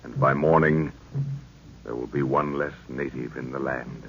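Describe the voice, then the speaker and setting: A man's voice: a bass voice, steady tone, unhurried, vocal fry, a bit bright, soft background music. A man narrating a 1930s radio serial, with an ominous, theatrical delivery as he recaps the story so far. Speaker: announcer. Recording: dull lo-fi old radio recording.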